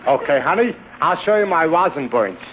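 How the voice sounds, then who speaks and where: An actor playing a good-natured, dim ex-boxer with slangy, guileless talk, in 1940s radio comedy style. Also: male voice, a little high, sing-song, normal speed, muffled recording. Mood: smitten. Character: good-natured, dim, punchy ex-boxer who loves his own jokes. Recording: noisy vintage radio broadcast recording.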